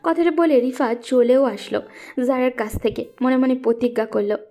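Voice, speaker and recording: A woman's voice: sits high, moderate pace, mellow. A woman narrating a scripted romantic story.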